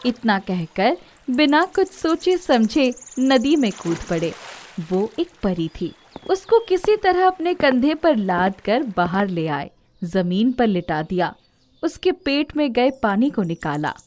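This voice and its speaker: A woman's voice: a little high, sing-song, slow, a little mellow. A woman narrates a scripted fairy tale as a storyteller. Her delivery is that of a children's moral story, told in the third person.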